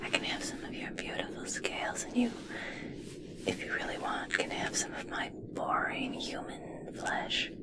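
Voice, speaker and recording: A woman playing an obsessed, possessive yet tender scientist in a slow, breathy, intimate ASMR roleplay whisper. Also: natural voice. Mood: fascinated.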